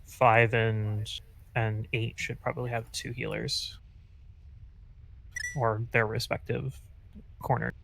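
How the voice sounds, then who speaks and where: A man helping assign groups in casual gaming voice chat, halting as he works through logistics aloud. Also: mid-range voice, level tone, gravelly, fairly bright tone, poor audio. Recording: faint noise on gaming voice chat.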